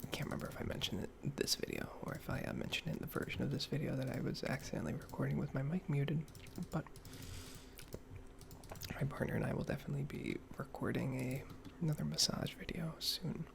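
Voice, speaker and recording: A man speaks in a hushed, breathy near-whisper with heavy vocal fry and a slightly nasal tone. He rambles haltingly, like a soft-spoken ASMR gamer. Faint noise and keyboard clicks sit behind him. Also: mid-range voice. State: slightly nasal while getting over a cold-like illness. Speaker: gaming ASMR video creator.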